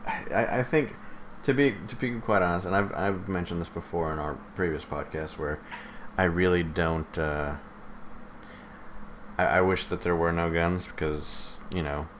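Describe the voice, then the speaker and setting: A man's voice: sits low, steady tone, normal speed, a little hiss or hum. A man co-hosting a podcast in casual chat, with a teasing manner. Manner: teasing, chuckling often. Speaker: podcast co-host.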